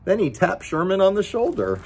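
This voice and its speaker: A man's voice: sits high, huge pitch swings, fairly quick, darkish tone, husky. A man reading a children's picture book aloud as story time host, with playful, chatty asides to his pet dog.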